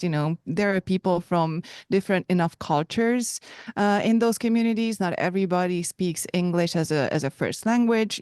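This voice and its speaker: A woman from Hungary with a Hungarian accent, co-hosting a casual podcast conversation, thoughtful and pausing to search for words. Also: fairly low pitch, darkish tone, clean tone, no echo, clean studio sound. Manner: thoughtful, restarts and hedges while searching for words. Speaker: podcast co-host.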